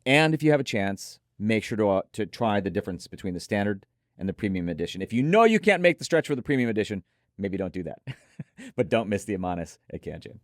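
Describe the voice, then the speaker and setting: A man's voice: lowish voice, big pitch swings, fast talker, no room sound, clean studio sound. A man hosting a conversational product review in an enthusiastic, emphatic manner. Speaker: host and headphone reviewer.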